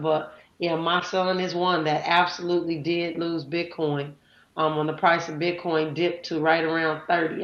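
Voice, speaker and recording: A woman's voice: fairly low pitch, fairly bright tone, echoey room, dull audio. A woman hosting a live audio chat room, talking casually with many fillers and introducing guests. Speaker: host.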